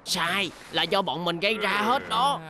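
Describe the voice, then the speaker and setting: An actor voicing an impulsive, pushy but good-hearted young sports car in a children's cartoon, expressive and exclamatory. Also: medium pitch, unhurried, background noise, lightly acted. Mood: dismayed. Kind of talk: animated children's cartoon dub.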